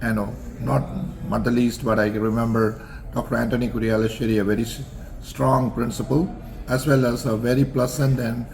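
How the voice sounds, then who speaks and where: An older man from Singapore, answering interview questions about his college years in a measured, formal way with frequent pauses and fillers. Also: medium pitch, flat delivery, creaky, a little mellow, slight background noise, poor audio. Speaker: interview guest, alumnus.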